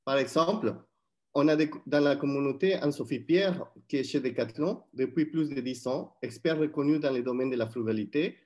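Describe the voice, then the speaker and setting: A man from Mexico with a Mexican accent, co-hosting a webinar and presenting in a friendly, slightly halting way over a video call. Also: mid-range voice, darkish tone. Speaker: webinar co-host.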